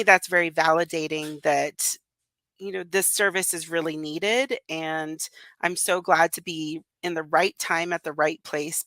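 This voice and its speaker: A woman's voice: fairly low pitch, fairly bright tone, no echo. A woman whose first language was Russian, talking casually as an interview guest, with many fillers and restarts. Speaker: interview guest, communications business founder. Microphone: remote call.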